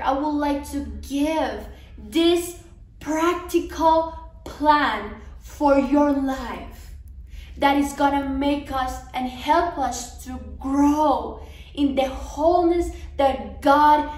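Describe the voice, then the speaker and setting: A young woman, giving an earnest, encouraging devotional talk to a women's conference. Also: sits high. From Colombia. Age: young adult. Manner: earnest and encouraging, some restarts. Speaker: conference speaker. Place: living room, seated on a sofa. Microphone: camera across the room. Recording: roomy, from a distance.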